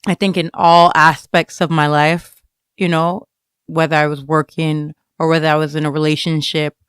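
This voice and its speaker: A woman's voice: low-pitched, level tone, moderate pace, clean tone, dry recording, clean studio sound. A woman from Jamaica who came to America as a child, answering interview questions reflectively, feeling her way through personal thoughts. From Jamaica, moved to America as a child. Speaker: student writer, interview guest.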